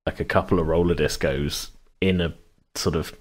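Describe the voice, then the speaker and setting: A man's voice: deep voice, steady tone, moderate pace. A British man in his thirties hosting a casual chat, rambling and often cutting himself off mid-thought. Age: 30s. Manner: rambling, hedging, self-interrupting. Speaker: podcast host and game master.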